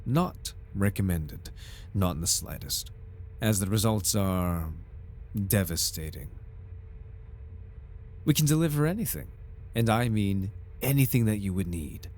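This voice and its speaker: Casting: male actor as low-pitched company recruiter, silky, formal, flirtatious with a sinister edge, for ASMR roleplay. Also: lively intonation, unhurried, long pauses, darkish tone. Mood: smug salesmanship. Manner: theatrical, formal, silky salesman politeness. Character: smooth-talking, flirtatious, faintly sinister corporate recruitment advisor. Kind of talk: ASMR roleplay, scripted in-character monologue.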